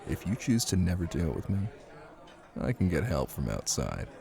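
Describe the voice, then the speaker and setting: A man playing a possessive, menacing Italian mafia husband in an intimate ASMR roleplay, speaking in a commanding way with deliberate pauses. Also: sits low, sing-song, gravelly, a crowd in the background, full character voice. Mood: measured, patient.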